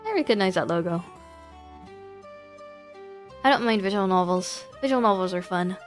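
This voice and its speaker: A woman's voice: medium pitch, expressive, moderate pace, faint music behind. A woman giving casual, informal livestream commentary on horror games, thinking aloud in a hesitant way. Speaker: video game livestreamer.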